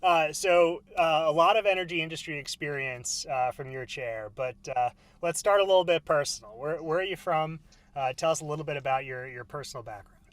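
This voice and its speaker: A man hosting a casual interview, asking questions haltingly and rephrasing them as he goes. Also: fairly high pitch, a bit bright, no echo. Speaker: podcast host, interviewer.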